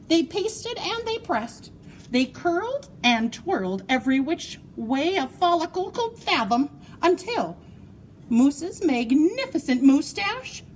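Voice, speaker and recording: Female children's story reader, highly varied intonation, slightly slow, expressive and playful, faint noise behind. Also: low-quality recording. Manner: expressive, playful, dramatic emphasis and drawn-out words. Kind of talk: animated children's story read-aloud.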